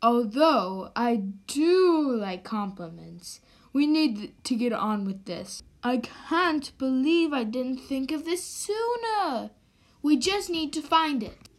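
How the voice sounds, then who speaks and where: A woman's voice: sits high, lively intonation, slow pace, heavily acted. A woman acting a self-assured, vain young man in an amateur radio drama, with a smug, commanding manner. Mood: smug, impatient.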